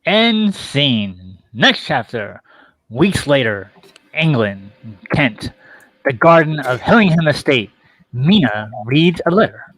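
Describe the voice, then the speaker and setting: A man's voice: medium pitch, sing-song, slow pace, fairly bright tone, no room sound, poor audio. A man narrating a radio play, reading out the stage directions.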